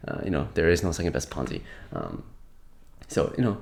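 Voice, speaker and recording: A man's voice: extremely low pitch, sing-song, brisk, creaky, a little mellow. A young man giving a casual market update while slightly sick, rambling with many fillers and restarts. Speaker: crypto market commentator.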